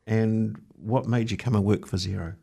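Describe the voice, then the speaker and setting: Very creaky, husky New man. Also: on the low side, steady tone, normal speed, warm tone. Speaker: radio or podcast interviewer. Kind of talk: casual interview questions.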